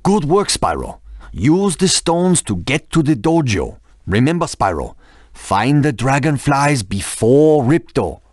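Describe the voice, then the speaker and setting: An actor playing an earnest elder mentor in a video game, speaking in a grave, instructive way. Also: male voice, mid-range voice, sing-song, unhurried, no room sound, studio quality. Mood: earnest, urgent.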